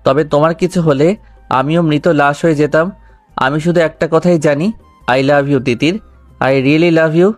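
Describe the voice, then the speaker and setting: A man's voice: a little high, fairly even intonation, moderate pace, no echo, soft background music, natural voice. A man playing a stern, jealous boss in love in a romantic audio story, intense and earnest. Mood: earnest, tender.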